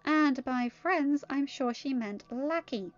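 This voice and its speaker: A woman's voice: high voice, slow pace, highs cut off, voice pushed up. A woman voicing a cheeky, indignant teenage princess with an emphatic, teasing way of talking.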